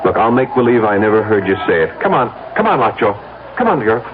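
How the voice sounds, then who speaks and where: A man's voice: on the low side, very animated, quick pace. An American actor playing a wary, indignant, stubbornly reluctant man in old radio drama style, blunt and emphatic. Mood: weary refusal. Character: reluctant male blackmail victim, wary, indignant, stubbornly refusing. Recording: noisy, tinny old radio broadcast.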